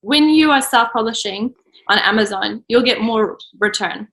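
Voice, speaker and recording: Woman guest, casual talker, high and bright, echoey room sound. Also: normal speed. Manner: laughs often, chatty, many "like"s. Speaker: children's book author and illustrator. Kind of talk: casual interview chat.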